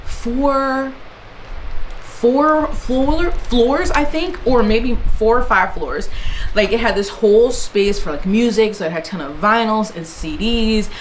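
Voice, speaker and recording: A woman's voice: fairly high pitch, slow pace, a little hiss or hum, rough recording. A woman chatting casually on camera, rambling with fillers and restarts. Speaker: book vlogger. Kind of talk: casual book haul chat. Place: indoors, in Germany.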